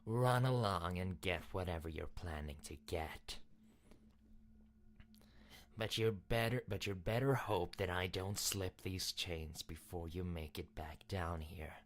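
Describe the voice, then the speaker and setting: A cold, threatening captive princess in a video game. The voice drops very deep and moves very slowly, each threat deliberate and vengeful. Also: female voice. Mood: cold, menacing.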